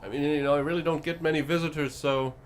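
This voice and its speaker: A man voicing a giant undead skeletal oracle, absent-minded and casual, rambling in halting phrases in a tabletop game. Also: a little high, fairly even intonation, smooth, lightly acted. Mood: forgetful, wistful.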